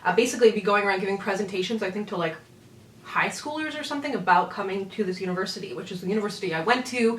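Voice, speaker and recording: A young woman with a medium-pitched voice chats informally, like a beauty vlogger getting ready. Her delivery is casual and self-deprecating, with giggly asides. The sound is clearly roomy, with the microphone set some distance away. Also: animated, very fast, a little hiss or hum. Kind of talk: casual chatty get-ready-with-me storytelling. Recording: roomy echo, distant mic.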